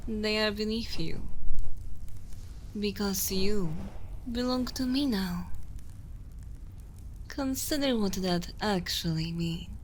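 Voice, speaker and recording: A woman playing a dominant, possessive yet motherly evil queen in an ASMR roleplay, speaking softly and intimately close to the microphone, often in a breathy whisper. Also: mid-range voice, slight background noise, close to an everyday voice. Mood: possessive, protective. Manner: slow, deliberate, commanding, intimate.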